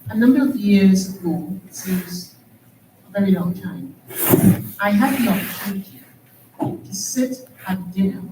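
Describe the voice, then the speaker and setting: Trinidadian woman moderating in an echoey hall. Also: fairly low pitch. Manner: earnest, rhetorical questioning. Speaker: panel moderator. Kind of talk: conference panel moderation. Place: conference hall. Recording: echoey hall, distant mic, faint noise.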